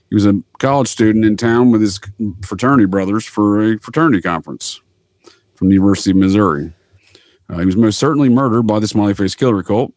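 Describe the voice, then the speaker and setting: A man with a fairly low voice talks as a podcast guest. He spins rambling, slangy stories in a casual way and repeats himself for emphasis. The sound is dry and close. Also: no echo. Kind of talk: casual conspiracy talk.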